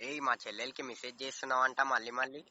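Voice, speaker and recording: A man's voice: mid-range voice, steady tone, racing. A young man making a confrontational prank phone call, brusque and threatening, in slangy speech. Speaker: prank caller.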